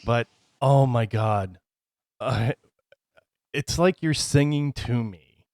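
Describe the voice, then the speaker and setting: Male host, loud and excitable, rambling casual interviewer. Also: medium pitch, slow pace. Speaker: radio show host interviewer.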